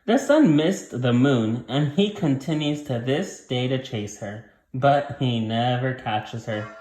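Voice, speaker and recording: A man's voice: mid-range voice, roomy sound. A man reading a story aloud to young children as their teacher, explaining things simply and repeating key points.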